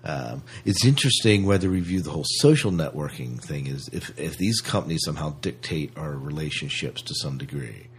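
A man with a deep, somewhat rough and husky voice talks as a podcast co-host. He works through his thoughts out loud in a casual, questioning way. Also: animated, brisk, hardly any pauses. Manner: questioning, thinks aloud. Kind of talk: casual chat discussion.

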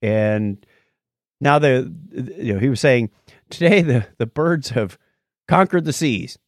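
Animated man in casual podcast chat. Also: medium pitch, slow, dry recording, studio quality. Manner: animated, rambling storyteller. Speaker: podcast co-host. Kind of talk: casual podcast chat, recounting a nature documentary.

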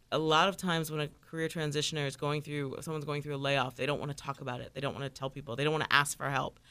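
A woman's voice: deep voice, fairly bright tone, clean tone. A middle-aged woman, a career coach, giving earnest, encouraging advice as a guest in a radio interview.

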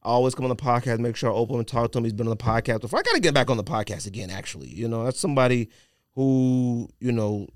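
A man hosting a casual sneaker podcast, talking in slangy, animated riffs and teasing his co-hosts. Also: medium pitch, quick pace, dry recording, studio quality. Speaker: podcast host.

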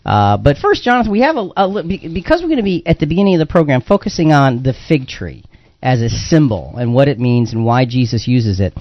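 A man's voice: medium pitch, sing-song, fast talker, no echo. A man hosting a religious talk show in a conversational, explanatory way, repeating phrases for emphasis. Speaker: radio show host. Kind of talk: live religious talk radio discussion. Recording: dull lo-fi radio audio.

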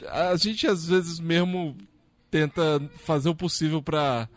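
Slightly high Brazilian man, friendly host. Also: slow pace, no echo. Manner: friendly, laughs easily, stumbles and restarts. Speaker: podcast host. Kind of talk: casual chat.

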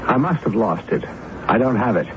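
A man's voice: medium pitch, very animated, moderate pace, close to an everyday voice. Man playing a dry drama critic on dull radio. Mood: evasive. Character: dry, evasive, self-righteous male drama critic. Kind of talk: 1970s radio drama acting. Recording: dull, noisy 1970s radio recording.

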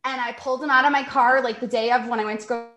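A woman's voice: on the high side, steady tone, quick pace, pushed and bright. Chatty woman in her forties, echoey room. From New York. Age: 40s. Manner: chatty storytelling, laughs while acting out quotes. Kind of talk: casual podcast chat.

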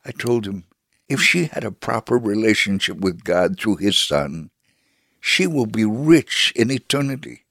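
A man giving a dramatic reading as a devout Holocaust survivor telling his story, measured, solemn and earnest. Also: on the low side, big pitch swings, vocal fry, a bit bright, husky, no echo, studio quality, heavily acted. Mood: solemn, assured. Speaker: dramatic reader. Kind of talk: dramatic first-person memoir reading.

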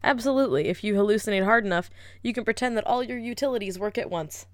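A woman in her twenties co-hosting a casual podcast, telling stories in a wry, quick, profane way. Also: medium pitch. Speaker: podcast co-host. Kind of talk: casual chat. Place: apartment.

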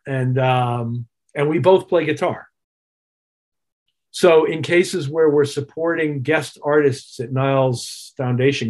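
A middle-aged man speaks as an interview guest with a husky, slightly unclean tone at an ordinary pitch. He explains his work as a touring keyboard player conversationally, reflecting as he goes and using the terms of his trade.

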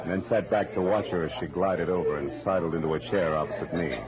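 A male actor with an American accent playing a wry, deadpan private detective in a 1940s radio noir drama. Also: deep voice, fast talker, crowd noise, lightly acted. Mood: wry, matter-of-fact. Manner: deadpan, wisecracking. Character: wry, hard-boiled male private detective narrating his case. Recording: muffled old radio recording, music behind.

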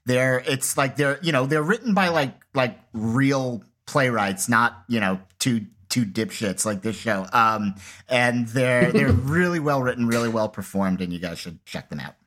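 A man's voice: medium pitch, lively intonation, measured pace, fairly bright tone. A man co-hosting a podcast in casual chat, with an informal, self-deprecating manner. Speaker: podcast co-host.

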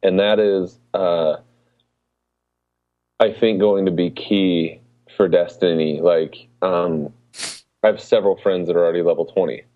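A man with a low voice chats as a podcast co-host. He explains things informally, and the sound is thin and narrow, like a phone call. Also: fairly even intonation, a little slow, pauses often, dry recording. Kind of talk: casual podcast chat.

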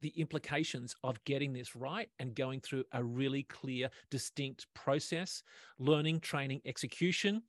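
A man's voice: mid-range voice, normal speed, no room sound. Enthusiastic male podcast host. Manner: enthusiastic, affirming. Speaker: host, interviewer. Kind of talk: podcast interview.

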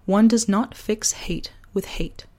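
A woman hosting a personal podcast, talking earnestly and deliberately, her voice partly gone from a sore throat. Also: medium pitch, mellow, in a whisper. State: losing voice from a sore throat virus. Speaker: podcast host. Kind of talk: personal podcast, reflective essay and casual chat.

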